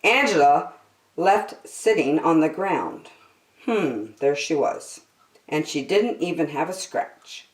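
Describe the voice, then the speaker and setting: A woman hosting story time, reading a children's book aloud in an expressive, deliberate, sing-song way. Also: low voice. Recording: echoey room.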